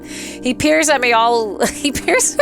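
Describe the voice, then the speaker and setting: A woman's voice: high-pitched, big pitch swings, takes it slowly, creaky. A woman streaming a let's play, reading a visual novel aloud with playful, dramatic, giggly asides. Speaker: gaming streamer. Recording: music behind the voice. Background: game music.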